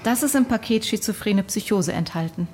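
Eine Schauspielerin spielt im Hörspiel eine gefasste Psychologin, sachlich und nachfragend. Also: female voice, mid-range voice, fairly quick, darkish tone, a little hiss or hum, close to an everyday voice. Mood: composed, probing. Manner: matter-of-fact, probing. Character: composed, clinical female psychologist. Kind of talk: radio drama dialogue.

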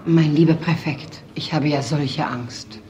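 An actress in a German radio play, playing a theatrical, coquettish society hostess with an affected drawl. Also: female voice, low-pitched, fairly even intonation, a little slow, dark tone, muffled recording. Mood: playful, gushing. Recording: echoey room with party crowd.

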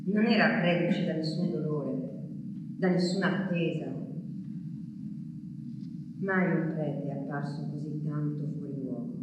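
A woman's voice: deep voice, recorded from a distance. Anguished wartime woman, cavernous echo. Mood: bitter, subdued. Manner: grave, deliberate phrasing with long pauses. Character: anguished French woman waiting in wartime Paris. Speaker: stage actress. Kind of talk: dramatic theatre monologue from a war diary. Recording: very echoey hall from afar, faint noise.

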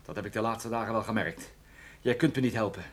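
An actor playing a weary, urgent, wry private detective and narrator in a radio play. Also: male voice, fairly low pitch, fast, a bit bright. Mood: urgent pleading. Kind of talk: radio play acting with first-person narration.

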